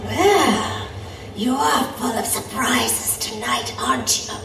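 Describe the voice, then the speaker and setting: A woman's voice: a little high, wildly swooping pitch, takes it slowly, exaggerated voice. A woman playing a predatory siren sea monster in an audio drama, mocking, haughty and theatrical, savoring her formal words. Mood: taunting, haughty. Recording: roomy, distant voice over music.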